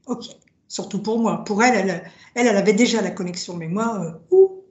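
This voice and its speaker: A woman born in France to Italian parents, with Italian as her first language, telling her story slowly with many pauses and fillers. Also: mid-range voice, darkish tone. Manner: hesitant, many fillers, reflective. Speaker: drum maker, guest. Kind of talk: casual interview, personal story. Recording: roomy, dull lo-fi sound.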